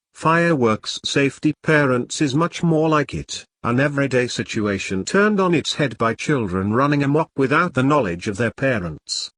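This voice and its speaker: A man's voice: medium pitch, fairly bright tone, no echo. A British man reading a scripted review in a dry, sardonic, sweary manner.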